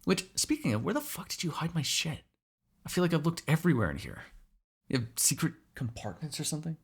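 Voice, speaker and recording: A man voicing a teasing, sarcastic vampire with a gravelly, husky voice in an ASMR roleplay. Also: a little high, sing-song, fast talker, full character voice. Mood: wry, irritated. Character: snarky, teasing male vampire captive, predatory yet fond. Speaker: voice actor in audio roleplay.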